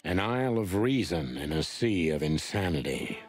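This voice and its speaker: Wry, low-voiced bird detective. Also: male voice, sing-song, moderate pace, soft background music. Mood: polite, musing. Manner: wry, courteous. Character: polite, wry male bird detective, the partner. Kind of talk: film-noir game voice acting.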